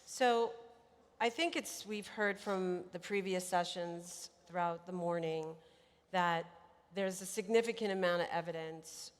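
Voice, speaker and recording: A woman moderating a panel, graciously thanking the speakers and posing measured questions. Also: fairly low pitch. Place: large hotel conference hall. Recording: reverberant conference hall.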